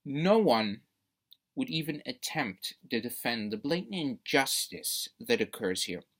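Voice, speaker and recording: Debate speaker, mid-pitched voice, prepared persuasive speech, formal wording, emphatic, rhetorical. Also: big pitch swings, a little slow, a bit bright.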